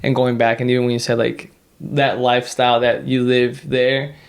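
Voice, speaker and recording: A man's voice: medium pitch, level tone, normal speed, vocal fry. Thoughtful man co-hosting a podcast. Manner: thoughtful, leans on "like" as a filler. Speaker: podcast co-host. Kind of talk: conversational interviewing.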